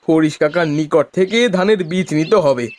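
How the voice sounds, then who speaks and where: A cheerful village husband and farmer, voiced by a man for a cartoon. His voice sits a little high and he talks politely. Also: a little fast, a little mellow, a little hiss or hum, close to an everyday voice. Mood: cheerful, eager. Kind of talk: Bengali cartoon dialogue.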